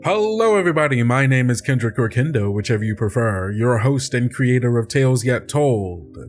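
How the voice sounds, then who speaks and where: A man hosts and plays on a tabletop story podcast. His voice sits at a medium pitch and his delivery is conversational, with music playing softly behind him. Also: expressive, moderate pace. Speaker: podcast host and player. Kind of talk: conversational actual-play podcast talk.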